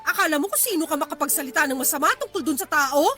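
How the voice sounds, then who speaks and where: A woman's voice: sits high, fast, bright, forceful tone. A woman acting a feisty, indignant, lovestruck young woman in an audio drama. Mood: furious. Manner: indignant, combative. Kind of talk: acted Tagalog audio drama dialogue.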